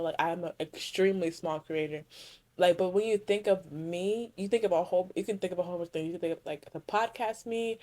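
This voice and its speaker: A woman's voice: fairly low pitch, dark tone. A young woman from Connecticut hosting her own podcast, chatting casually through a solo Q&A and correcting herself as she rambles along. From Connecticut, USA. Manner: rambling, self-correcting, heavy use of "like". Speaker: podcast host.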